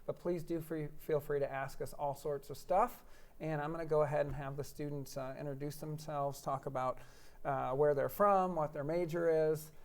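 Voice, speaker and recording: An American man hosting a live online chat for admitted students, relaxed and talkative with a lot of fillers. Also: a little high, normal speed. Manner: relaxed, chatty, many fillers, jokes at himself. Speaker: director of admissions office, host.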